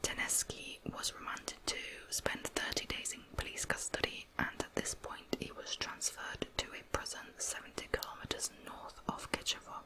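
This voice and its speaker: Female ASMR true-crime narrator, fully whispered, soft explanatory delivery. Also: moderate pace, hardly any pauses, poor audio.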